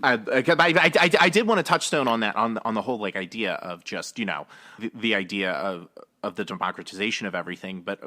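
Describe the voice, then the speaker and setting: A man with a fairly low, bright and forceful voice chats as a podcast co-host. His phrasing is casual and repetitive as he circles toward his point. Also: lively intonation, quick pace. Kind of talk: casual film history discussion.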